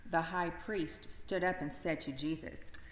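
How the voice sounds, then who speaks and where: A woman narrating a Gospel reading in a measured, plain manner. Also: lowish voice, steady tone. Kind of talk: scripted scripture narration. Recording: echoey church with faint noise.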